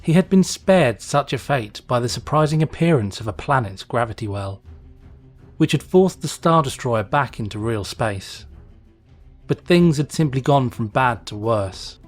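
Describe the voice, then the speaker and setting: A man's voice: mid-range voice, lively intonation, measured pace. A man narrating a story as a scripted, dramatic reading. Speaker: fan fiction reader, narrator. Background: music.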